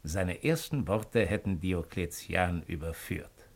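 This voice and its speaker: An actor playing a shrewd, cynical imperial agent of ancient Rome in a 1950s German radio play, with a mocking, deliberate, rhetorical delivery. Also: male voice, low-pitched, vocal fry, heavily acted. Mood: mocking, relishing.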